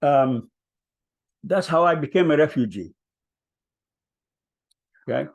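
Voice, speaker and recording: An older man speaks unhurriedly, his low voice rough with fry. He tells his own story at a vigil, pausing often and filling the gaps with soft ums. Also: lively intonation, muffled recording. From Palestine. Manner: hesitant, many ums, soft-spoken. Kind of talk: personal storytelling.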